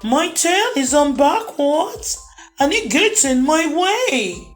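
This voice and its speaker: A woman's voice: sits high, sing-song, slow pace, soft background music, pitched above the performer's own voice, full character voice. A woman voicing a sad, self-conscious little boy elephant with a plaintive whine in a children's storybook. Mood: whiny, unhappy. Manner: plaintive, whining. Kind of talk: children's storybook read-aloud.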